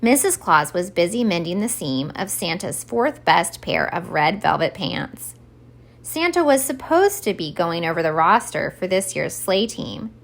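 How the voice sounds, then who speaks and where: A woman reading aloud scripted narration from a children's storybook in an expressive story time style. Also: fairly low pitch, unhurried, vocal fry.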